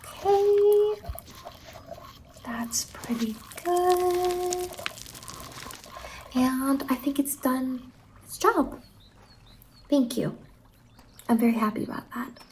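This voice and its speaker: A soft-spoken woman doing an ASMR roleplay as a salon worker, soothing and reassuring, often slipping into a whisper. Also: sits high, very slow, long pauses, a little hiss or hum.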